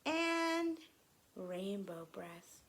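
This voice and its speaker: A woman teacher reading aloud to young children, explaining things gently in simple, instructive phrases. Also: medium pitch, big pitch swings, drawn-out words, a little hiss or hum.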